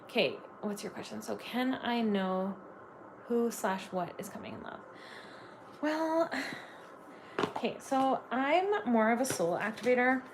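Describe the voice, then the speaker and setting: A woman's voice: on the high side, animated, measured pace, pauses often. Woman from Canada, tarot reader, chatty and gushing, lots of fillers, faint background hiss. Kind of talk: live tarot reading stream.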